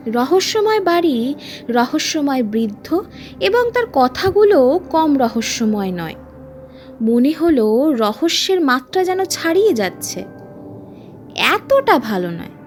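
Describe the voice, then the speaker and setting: A woman narrates a ghost story in a high, swooping voice. She takes her time over each phrase, reading dramatically as a storyteller, with faint music underneath. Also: big pitch swings, slow, long pauses.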